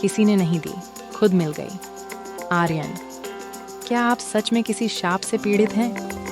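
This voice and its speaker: A woman's voice: mid-range voice, measured pace, music in the background, natural voice. A woman playing a brave, kind-hearted young princess in a cartoon story, speaking earnestly. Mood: curious, calm. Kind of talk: Hindi animated moral story dialogue.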